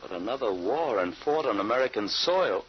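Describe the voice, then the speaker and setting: A man's voice: medium pitch, animated, normal speed, close to an everyday voice. An actor playing a cautious, doubting middle-aged father in an old-time radio drama, hesitant and questioning. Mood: troubled, questioning. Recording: muffled, noisy old radio recording.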